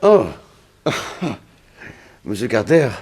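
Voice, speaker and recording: A man's voice: medium pitch, very animated, extremely slow, a little mellow, slightly rough, heavily acted. A man playing a worn-out, mournful former captive in a hospital bed, hesitant and weary, in a 1960s French radio mystery. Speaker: actor in a radio serial.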